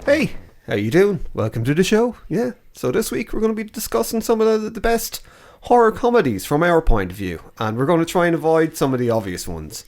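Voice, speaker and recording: A man's voice: on the high side, big pitch swings, brisk, darkish tone. An Irish man co-hosting a podcast in casual chat, rambling, swearing casually and losing his train of thought. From Ireland. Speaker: podcast co-host.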